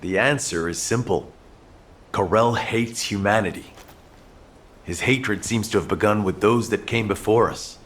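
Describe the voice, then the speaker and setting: Game cutscene lead: remorseful, resolute male commander, lowish voice, grave and deliberate. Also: fairly bright tone, slight background noise. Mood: solemn, ashamed. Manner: solemn, measured, resolute. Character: earnest, remorseful male commander in a sci-fi war. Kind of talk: video game cutscene acting.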